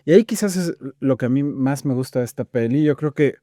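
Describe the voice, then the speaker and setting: A man's voice: medium pitch, brisk, dark tone, dry recording, studio quality. A man with a Bolivian Spanish accent, co-hosting a podcast with conversational film criticism.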